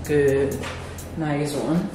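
Young Nigerian woman vlogger, gushing house tour, lowish voice, camera-mic distance, loud background music. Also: drawn-out words. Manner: gushing, exclamatory, repeats praise. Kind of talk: enthusiastic walkthrough. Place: empty furnished house, indoors, echoey rooms. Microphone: camera mic, filmed from a few steps away. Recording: roomy echo, off-mic, loud music bed.

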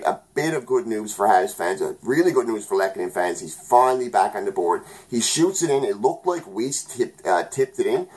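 Young man ranting through a hockey recap. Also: fairly low pitch. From Newfoundland, Canada. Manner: rambling, heated, frequent self-corrections. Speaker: hockey vlogger. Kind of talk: casual post-game recap. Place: small room at home.

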